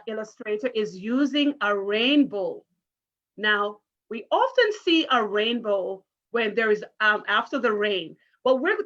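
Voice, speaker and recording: A woman's voice: mid-range voice, pushed and bright. A woman, a primary school teacher reading to children in an encouraging, careful, interactive way over a video call. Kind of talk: interactive children's read-aloud. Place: online virtual story time call. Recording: muffled video call audio.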